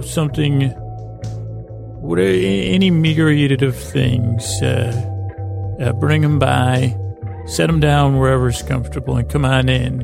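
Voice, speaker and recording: Creaky guy rambling a soothing bedtime podcast, stumbles over words a lot, kinda halting, gravelly. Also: mid-range voice. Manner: meandering, halting, frequent stammers and self-interruptions; lulling, soothing. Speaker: podcast host. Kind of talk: rambling bedtime monologue to put listeners to sleep.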